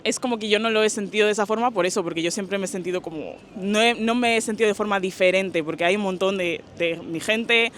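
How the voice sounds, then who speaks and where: A woman's voice: mid-range voice, quick pace, few pauses, a little hiss or hum. A young woman from Spain answering street interview questions in a thoughtful, casual and slangy way. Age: young adult.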